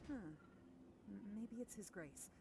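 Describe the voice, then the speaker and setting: A dutiful young female guard from a game speaks softly and politely, a little hesitant. Her voice is mid-pitched and sits in loud background noise. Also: animated, slow pace, gravelly. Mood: careful.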